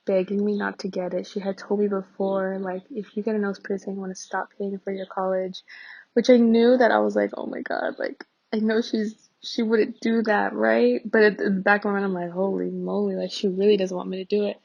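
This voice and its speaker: A woman's voice: mid-range voice, level tone, fairly quick, vocal fry, a little mellow, highs cut off. A young American woman giving a candid personal monologue in an informal, unfiltered way. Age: young adult. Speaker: anonymous testimony participant.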